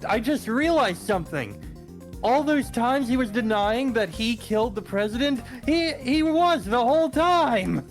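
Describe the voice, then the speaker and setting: A speaker making dry, deadpan remarks over a game dub. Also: mid-range voice, unhurried, faint music behind. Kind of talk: joking commentary.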